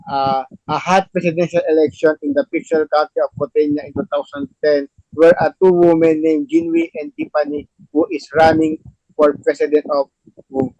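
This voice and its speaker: A man hosting and narrating a play table read, with halting, repetitive phrasing. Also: a little high, creaky, darkish tone, no echo, poor audio. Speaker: show host and stage-direction reader.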